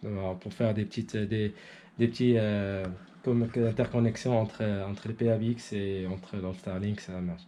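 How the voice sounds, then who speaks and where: Mellow man, halting speech. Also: on the low side, steady tone, a little hiss or hum. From Morocco. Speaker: amateur radio operator and guest. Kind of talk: informal technical demonstration.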